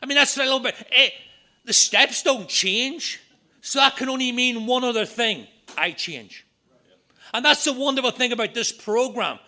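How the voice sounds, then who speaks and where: A middle-aged man with a Northern Irish accent, giving an earnest talk at a recovery meeting with quick asides. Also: very high-pitched, sharp tone. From Northern Ireland. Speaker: AA speaker. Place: meeting room.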